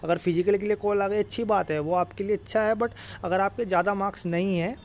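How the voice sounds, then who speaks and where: A man's voice: high voice, fairly even intonation, fast, a little hiss or hum. A young man explains things informally to students as an exam coach, repeating himself, checking in with tag phrases and mixing in English words.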